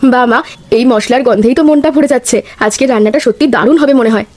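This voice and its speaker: A woman's voice: a little high, quick pace, darkish tone, lightly acted. A woman voicing an eager, helpful young daughter-in-law in a village cartoon, polite in manner. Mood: cheerful, helpful. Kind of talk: scripted cartoon dialogue.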